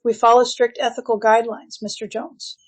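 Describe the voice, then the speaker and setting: A woman's voice: a little high, level tone, a little fast, close to an everyday voice. A woman playing a composed, reassuring grief-therapy doctor in a screenplay reading, speaking in a formal, placating way. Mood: defensive.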